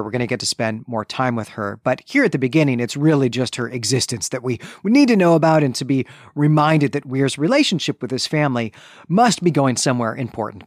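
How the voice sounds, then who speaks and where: A man with a mid-pitched voice works through a literary recap as a podcast co-host. He explains point by point in an analytical way, checking in as he goes. The sound is dry, with very little room in it. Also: no echo. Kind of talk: analytical book recap discussion.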